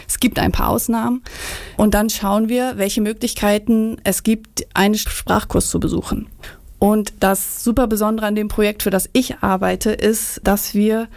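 A woman working as an advisor for young migrants who want to study, giving clear, detailed answers in an interview. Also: medium pitch, fairly even intonation, moderate pace, darkish tone, no room sound.

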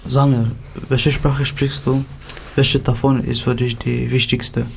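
A man's voice: mid-range voice, little pitch movement, slow pace, vocal fry, slight background noise. A man hosting an interview, reading out plain questions evenly.

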